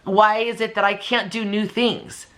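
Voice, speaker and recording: A woman's voice: medium pitch, unhurried. A woman in her fifties talking casually and candidly in a motivational vlog, emphatic and given to acting out remarks. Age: 50s. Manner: animated and emphatic, acts out imagined remarks; candid, conversational. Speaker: video creator and channel host.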